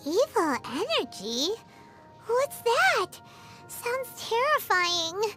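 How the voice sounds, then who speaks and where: A woman voicing a small, childlike, excitable and boastful flying fairy companion in a video game. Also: very high-pitched, extremely slow, soft background music, full character voice. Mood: curious, thoughtful. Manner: speaks of self in third person, perky. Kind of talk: scripted video game dialogue.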